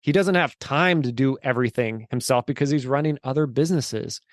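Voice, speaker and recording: A man's voice: medium pitch, a little fast, no room sound, clean studio sound. A man of forty, a guest in an interview, telling his story in an earnest, emphatic way. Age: 40s. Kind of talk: casual interview chat.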